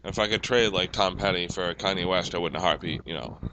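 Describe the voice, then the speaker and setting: A man chatting casually as a podcast co-host, in a loose, halting conversational way. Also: sits low, noisy background. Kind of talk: casual banter.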